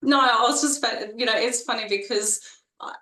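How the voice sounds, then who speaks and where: A woman's voice: a little high, steady tone, moderate pace, sharp tone, echoey room. A woman in her forties with a strong Australian accent, a nurse chatting as an interview guest, talking freely with many fillers and restarts. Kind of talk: casual interview conversation.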